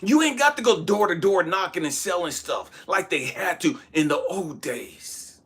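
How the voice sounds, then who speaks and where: A man giving a blunt, profane motivational talk, shouting one moment and dropping to hushed asides the next. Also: high voice, lively intonation, moderate pace, slightly rough. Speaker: motivational speaker. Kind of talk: impassioned motivational monologue.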